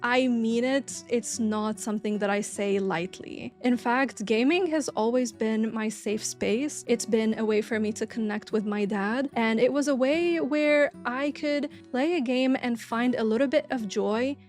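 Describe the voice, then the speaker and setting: A woman's voice: fairly high pitch, a little slow, few pauses, darkish tone, soft background music. A young woman from Lebanon with a Lebanese accent, whose first language is Arabic, talking earnestly and from the heart to her viewers in a personal gaming vlog. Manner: earnest, heartfelt direct address. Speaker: gaming YouTuber.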